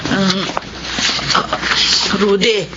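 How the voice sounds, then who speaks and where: A woman's voice: mid-range voice, little pitch movement, extremely slow. An actress playing a doting, stubborn elderly grandmother with a Filipino accent in a radio drama. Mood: faint, dizzy. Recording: dull, very noisy radio recording.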